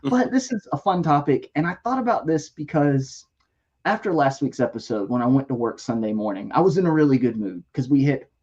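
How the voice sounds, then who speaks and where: A man hosting a casual toy-collecting livestream, losing his voice a bit, reading out member shout-outs with jokes. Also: medium pitch, expressive, brisk, husky, lo-fi sound. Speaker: livestream host.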